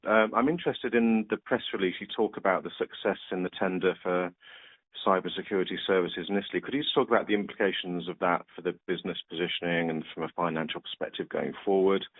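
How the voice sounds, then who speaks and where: A man speaking as a financial analyst, politely putting orderly questions on an earnings call over the phone. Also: deep voice. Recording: thin, narrow phone line.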